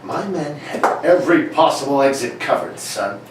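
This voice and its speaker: A gruff, exasperated older police inspector, played by a man in an old-time radio play, blustering in a slightly high voice. He sounds off-mic in a very echoey room. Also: animated, normal speed, close to an everyday voice. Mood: exasperated, matter-of-fact. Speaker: amateur radio play performer. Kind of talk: 1940s radio play read for laughs. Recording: distant mic, very echoey room, faint noise.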